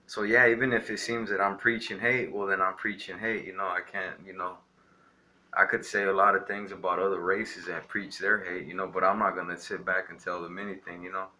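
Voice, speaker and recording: A man's voice: deep voice, level tone, a little fast, bright, forceful tone, rough recording. A young man from Texas ranting defiantly to camera, rambling with lots of filler words. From Texas, USA. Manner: rambling, defiant, heavy on "you know" fillers. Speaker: vlogger. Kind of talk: casual ranting monologue to camera.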